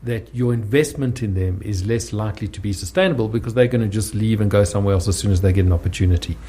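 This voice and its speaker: Podcast co-host, husky voice, mellow tone, probing questions. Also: sits low, fast talker. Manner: asks probing follow-up questions. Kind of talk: conversational podcast interview.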